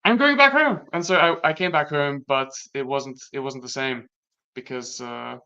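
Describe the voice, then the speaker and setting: A young man from Latvia whose first language is Latvian, talking through personal experiences in a casual conversation, reflective and halting with many restarts. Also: mid-range voice, pushed and bright, clean tone. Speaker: guest. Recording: dull lo-fi sound.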